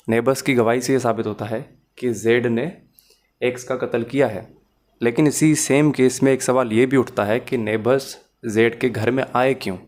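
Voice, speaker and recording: A man's voice: mid-range voice, a little mellow. A man with a Pakistani accent giving a methodical, step-by-step explanatory lecture as a law teacher, mixing in English terms.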